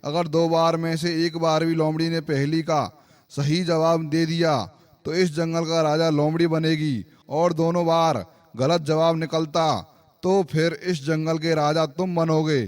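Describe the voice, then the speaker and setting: A man voicing a solemn, fair-minded lion king in a cartoon fable, speaking in a measured, authoritative way. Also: on the high side, level tone, smooth, natural voice. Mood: solemn, deliberate. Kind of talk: animated moral story acting.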